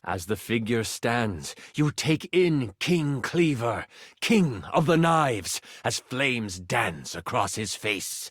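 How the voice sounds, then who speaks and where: A game master telling a fantasy quest in a video game. His delivery is theatrical and suspenseful with playful asides, in a very lively pitch and a dry, close recording. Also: no echo. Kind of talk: theatrical tabletop fantasy narration.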